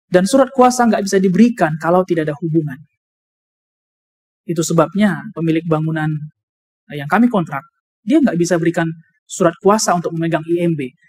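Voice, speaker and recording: A man with an Indonesian accent preaching a sermon in a deliberate, explanatory way, repeating key phrases for emphasis. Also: fairly high pitch, slightly rough. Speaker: preacher, also a doctor. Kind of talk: sermon with personal anecdotes and scripture reading.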